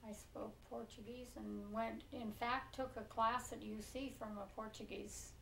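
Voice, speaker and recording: An older American woman in her seventies recalls the past in an interview. Her voice has a slight gravelly creak, and she pauses as she goes. She sounds a little away from the mic in a roomy living room. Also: a little high, fairly even intonation, fairly bright tone. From Pinole, California. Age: 70s. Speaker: oral history interviewee. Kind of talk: reminiscing in a relaxed interview. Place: living room, on a leather couch. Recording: roomy, distant mic with faint noise.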